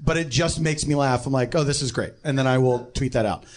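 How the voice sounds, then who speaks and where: A man talking casually as a podcast panelist, explaining his thinking in a measured way. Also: mid-range voice. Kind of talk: casual conversation.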